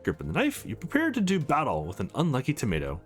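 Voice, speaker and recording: Man, let's play host, casual read-aloud narration, occasional stumbles, highly animated intonation, faint background music. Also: fast. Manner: casual, stumbles and corrects himself while reading. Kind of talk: reading a visual novel aloud with casual asides.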